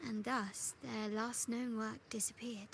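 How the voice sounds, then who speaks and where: A woman's voice: a little high, level tone, unhurried, creaky, slight background noise. A woman playing a genteel, polished young lady telling local lore in a video game. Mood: hushed, informative. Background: loud game music.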